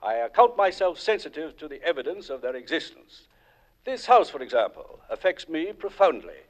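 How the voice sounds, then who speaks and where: A man's voice: a little high, big pitch swings, normal speed. An actor playing a serious, formal male psychic investigator, in 1940s radio acting style. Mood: solemn. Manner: grave, formal, portentous. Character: solemn serious male psychic ghost hunter. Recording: dull old 1940s radio recording.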